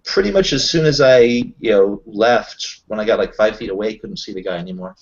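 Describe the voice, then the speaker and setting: A man improvising in a tabletop roleplaying session, talking haltingly and often searching for his words. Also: lowish voice. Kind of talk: improvised in-character roleplay chat. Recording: dull lo-fi voice recording.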